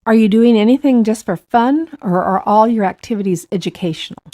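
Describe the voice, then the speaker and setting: An adult American woman who interviews young people with short scripted questions, asked in a measured, even way. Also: a little high.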